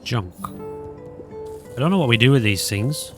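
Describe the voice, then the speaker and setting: A man's voice: medium pitch, lively intonation, slow pace. A man giving casual let's-play commentary, chatty and musing aloud to himself. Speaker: gaming video host. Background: guitar music.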